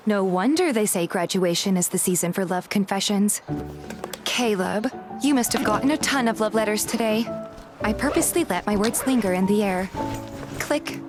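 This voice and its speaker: A woman's voice: mid-range voice, normal speed, natural voice. Actress as suspicious, spirited young female lead, first-person narration and dialogue, mid-range voice over music. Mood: curious, suspicious. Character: feisty, suspicious young woman narrating her own story. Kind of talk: scripted first-person narration and game dialogue, fan dub.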